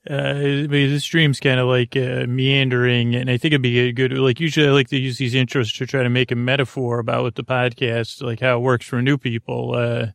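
A man hosting a sleep podcast, talking in a rambling, halting monologue that circles back and repeats itself. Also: mid-range voice, little pitch movement, creaky, no room sound, clean studio sound. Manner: meandering, halting, repetitive. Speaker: podcast host.

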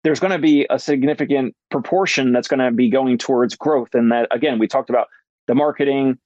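A man's voice: medium pitch, brisk, a little mellow, dry recording. A man hosting a podcast, asking questions in a casual, rambling way, filling with "like" and restarting phrases. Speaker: podcast host. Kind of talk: casual interview chat. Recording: dry, dull muffled sound.

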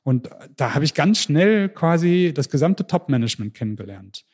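A man's voice: fairly high pitch, normal speed, husky. German man telling his story eagerly. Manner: lively storytelling with frequent restarts. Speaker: interview guest. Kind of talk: casual podcast interview.

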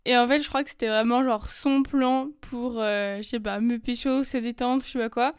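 A young woman telling a personal story in casual, chatty French, full of fillers and quick asides. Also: on the high side, steady tone, smooth, no room sound, studio quality. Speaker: podcast host. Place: lives in Germany.

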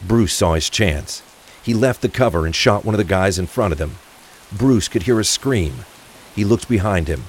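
A man reading scripted narration for a crime thriller audio drama. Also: low voice. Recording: faint rain and traffic ambience. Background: rain, vehicle sounds.

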